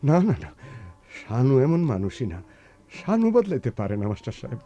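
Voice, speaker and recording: An actor playing an excitable, doting older brother from a village, blustering and rambling in a rural eastern Bengali accent in a radio play. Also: male voice, mid-range voice, fairly quick, lo-fi sound. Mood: subdued pleading. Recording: music behind, radio drama production.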